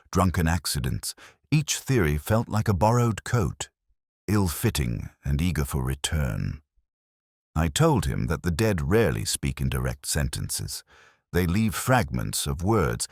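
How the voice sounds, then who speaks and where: A man narrating a scripted first-person detective story in a brooding, measured, literary manner. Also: very deep voice, no echo, clean studio sound.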